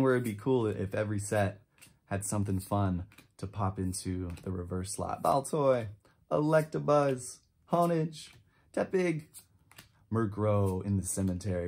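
A man in his twenties giving casual, enthusiastic pack-opening commentary, chatty and quick to drop short exclamations. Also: lowish voice, expressive, a little mellow. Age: 20s. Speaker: trading card channel host. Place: indoor room with shelves of collectibles.

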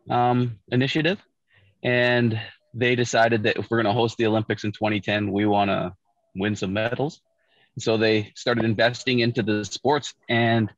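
A middle-aged man from Saskatchewan, Canada, talks through a video call from a parked car. He tells his story in a relaxed way, restarting sentences often and leaning on fillers. The audio is dull, muffled and close, with no room sound. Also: mid-range voice, dry recording. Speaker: Olympic bobsledder guest. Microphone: video call, laptop or phone camera.

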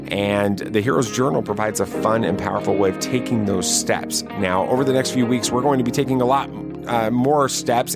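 A man hosting a podcast, delivering scripted narration to his listeners. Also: mid-range voice. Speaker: podcast host. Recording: loud guitar music under voice.

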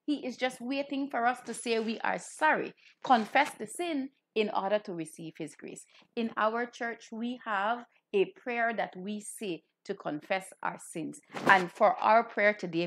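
A woman's voice: mid-range voice, measured pace, few pauses, a bit bright, a little hiss or hum. Woman, thirties; cleric-host delivering a simple, direct children's sermon. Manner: clear teaching, addressing children. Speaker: Anglican cleric hosting children's Bible broadcast. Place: small indoor room.

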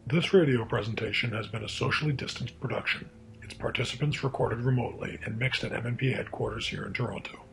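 A man's voice: medium pitch, fast talker, few pauses, background noise. A man giving a formal closing announcement for a radio drama. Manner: formal, smooth announcer delivery.

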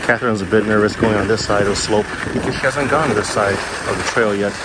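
A man's voice: on the low side, fairly quick, very noisy. A man in his thirties filming his daughter as they ski, narrating casually and coaching her along outdoors on a snowy slope. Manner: encouraging, coaching a child. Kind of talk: casual vlog narration while skiing.

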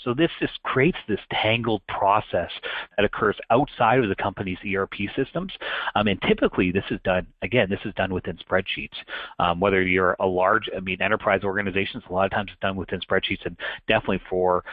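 A man's voice: low-pitched, dry recording. A Canadian man in his thirties presenting a business webinar in a conversational way, with frequent fillers and restarts. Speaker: channel account manager presenting a webinar. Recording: dry, muffled web call audio.

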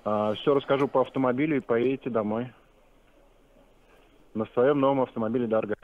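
A man's voice: medium pitch, steady tone, fairly quick. A man working as a car salesman, speaking politely and explaining in a businesslike way over a narrow phone line. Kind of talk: customer phone call. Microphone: telephone.

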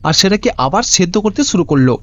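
Casting: male cartoon story narrator, high pitch, lively melodic read, lo-fi track. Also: fast, slight background noise.

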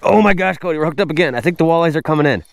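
A man hosting a casual fishing vlog outdoors on a frozen lake, recorded on a wearable camera, exclaiming excitedly at each catch. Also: fairly high pitch, racing, vocal fry, dry recording. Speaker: host. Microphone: wearable action camera.

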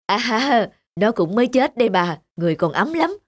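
A woman voicing a scheming, grumbling male farmer in an animated folk tale, given to exclamations. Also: fairly high pitch, normal speed.

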